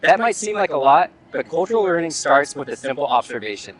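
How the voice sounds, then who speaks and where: A man's voice: fairly high pitch, big pitch swings, brisk, fairly bright tone, low-quality recording. A man presenting an educational video, narrating and asking curious questions. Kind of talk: scripted narration and on-site questions.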